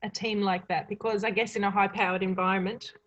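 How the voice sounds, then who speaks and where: A woman's voice: medium pitch, level tone, fast talker, dull audio. A woman hosting a casual, reflective conversation. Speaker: podcast host.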